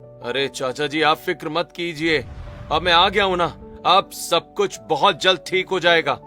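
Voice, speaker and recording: A man's voice: fairly high pitch, a little slow, bright, forceful tone, soft background music. A man playing an earnest young rich son-in-law in a dramatic, emphatic cartoon story style. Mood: reassuring, earnest. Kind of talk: Hindi cartoon story acting and narration.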